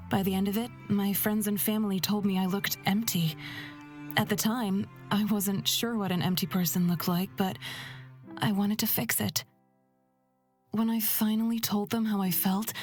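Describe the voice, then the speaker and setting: A calm young woman who drives a wagon in a fantasy game, voiced by a woman reader. She speaks in a measured, thoughtful way, with soft music underneath. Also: medium pitch, natural voice.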